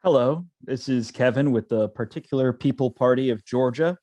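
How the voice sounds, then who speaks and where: A man's voice: medium pitch, normal speed, warm tone, no echo, lightly acted. An actor as a young phone canvasser in an online play reading. He is polite and persistent with a scripted delivery, recorded close and dry. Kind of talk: live play reading over video call.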